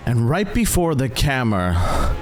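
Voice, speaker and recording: A man working as game master, narrating a tabletop game in a theatrical, suspenseful, cinematic style with deliberate pauses. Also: mid-range voice, very animated, soft background music.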